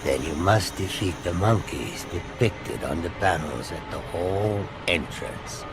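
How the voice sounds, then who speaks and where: An actor playing an enigmatic monk who guides the hero in a slow, instructive way. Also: male voice, deep voice, lively intonation. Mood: cryptic. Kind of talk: video game cutscene acting.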